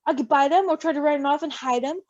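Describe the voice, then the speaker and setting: A woman's voice: high voice, fast, a little mellow, no room sound, natural voice. A woman voicing an anxious, protective male werewolf in a story reading, worried and earnest. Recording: dull lo-fi recording.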